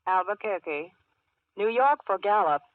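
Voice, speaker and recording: A woman playing a brisk, formal telephone operator in a 1940s radio drama, clipped singsong patter through a telephone filter. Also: medium pitch, animated, no room sound. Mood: brisk, impersonal. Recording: tinny, noisy old telephone-like radio recording.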